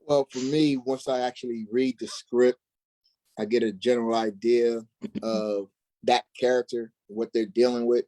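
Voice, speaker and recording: A man's voice: mid-range voice, fairly even intonation, moderate pace, warm tone, poor audio. A man speaking as a stage actor in an interview, answering casually in a folksy, informal way.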